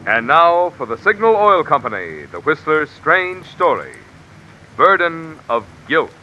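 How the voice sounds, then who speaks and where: A man announcing the sponsor of a 1950s radio program in a formal, crisp way. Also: on the high side, sing-song, a little slow, bright, forceful tone, a little hiss or hum. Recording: dull lo-fi 1950s radio recording with music.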